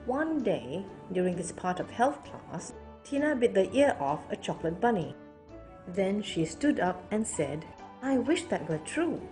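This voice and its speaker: A woman storyteller reading a children's picture book aloud in an expressive style. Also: mid-range voice, a little slow, faint music behind.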